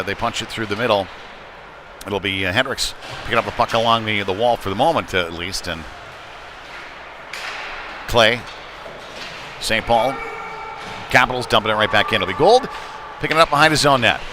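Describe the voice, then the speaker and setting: A man's voice: lowish voice, background noise. A man giving live play-by-play commentary of a youth ice hockey game, with conversational, folksy asides.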